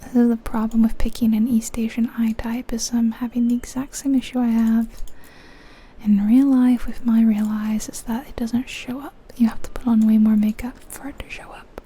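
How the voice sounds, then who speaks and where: A woman whispering breathily in an ASMR style, musing aloud in an unhurried, soft way as she comments on a game. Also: a little high, level tone, slightly rough. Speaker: ASMR video creator.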